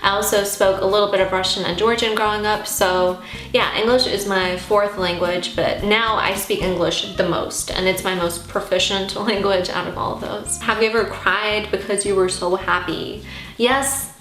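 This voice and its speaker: A woman's voice: mid-range voice, fairly even intonation, moderate pace, a bit bright. A young woman whose first language is Armenian, hosting a casual question-and-answer vlog in an earnest, encouraging way. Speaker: YouTube host answering viewer questions. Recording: roomy echo, music behind.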